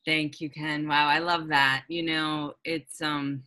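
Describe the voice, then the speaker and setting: A woman's voice: low voice, little pitch movement, slow, pushed and bright. A woman hosting a reflective conversation, thinking aloud with many fillers. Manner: thoughtful, searching, with many fillers.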